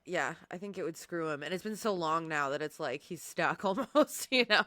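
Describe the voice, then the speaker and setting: A woman guest on a podcast, chatting in a lively, talkative way. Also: low voice, moderate pace, no room sound, clean studio sound. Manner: chatty, animated, laughs often. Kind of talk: casual podcast chat.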